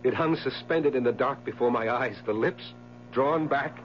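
Guy acting as haunted jittery husband, old radio drama, keeps repeating himself, halting, bit of hiss, dull sound. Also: medium pitch, close to an everyday voice. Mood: shaken, dread. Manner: halting, repetitive, melodramatic delivery. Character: haunted, nerve-shattered husband, anxious and obsessive. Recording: muffled, hissy 1970s radio broadcast recording.

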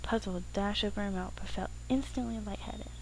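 Casting: female amateur story narrator, mid-pitched, dramatic reading with stumbles, faintly noisy home recording. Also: a little fast, vocal fry. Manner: stumbles over words and rereads. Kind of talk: dramatic reading of fan fiction.

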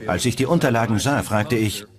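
A man's voice: medium pitch, fairly quick, lightly acted. A man voicing a matter-of-fact investigator recounting a cold case in a documentary dub. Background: prominent music.

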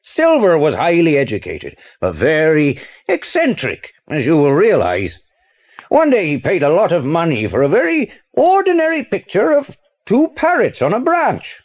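An excitable, high-voiced British art dealer. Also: male voice, moderate pace, muffled recording. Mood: rueful, recounting. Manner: excitable, theatrical, well-spoken. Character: eccentric, hot-tempered middle-aged male art dealer. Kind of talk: audio play acting for children.

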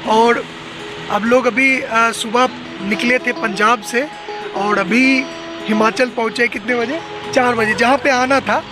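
A high-voiced young man chatting excitedly to his phone camera outdoors as he tours a place. Loud music plays under his voice throughout. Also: slow pace, low-quality recording. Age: young adult. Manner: chatty, excited tour-guide narration. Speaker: vlogger. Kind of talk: casual travel vlog commentary. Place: outdoors at a hill stadium. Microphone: handheld phone selfie camera.

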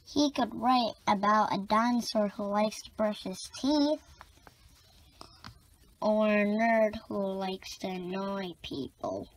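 A woman's voice: mid-range voice, slow pace. A woman reading a children's comic book aloud, expressively acting out the dialogue. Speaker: storybook reader.

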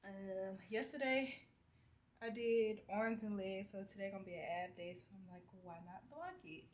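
A woman's voice: medium pitch, moderate pace. A young woman in her twenties vlogging from her apartment, chatting casually to her phone camera with easygoing slang. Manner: relaxed, chatty, talks straight to camera with slang. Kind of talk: casual vlog chat. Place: small apartment kitchen indoors.

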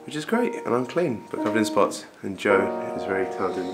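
Young man, low voice, wry and relaxed diary delivery, music heavy behind. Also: brisk. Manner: relaxed, wry, rambling updates. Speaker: band member. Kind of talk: casual video diary. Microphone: wide-angle handheld camera. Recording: music playing over the voice.

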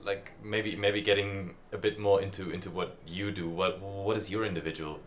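A man's voice: deep voice, fairly even intonation, moderate pace, a little hiss or hum. A man hosting a podcast and asking questions in a casual, conversational way. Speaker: podcast host, interviewer. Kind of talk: casual interviewing.

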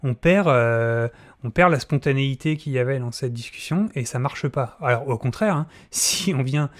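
A man's voice: mid-range voice, moderate pace. A middle-aged man hosting an informal spoken tutorial, close to a desk microphone in a small room. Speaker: audio fiction tutorial host. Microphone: close desk microphone, wearing headphones.

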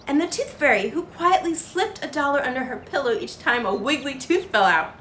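A young woman narrates a children's picture book with very animated intonation. Her voice sits fairly high. The sound is roomy, with faint noise behind her. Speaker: children's storytime host. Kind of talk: expressive picture-book read-aloud.

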